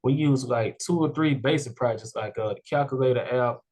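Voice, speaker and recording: Young male podcast host, mid-pitched and loose, rambling with lots of "like" and "you know.". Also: normal speed, darkish tone. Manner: rambling, heavy "like" and "you know" fillers, upbeat. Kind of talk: casual interview chat.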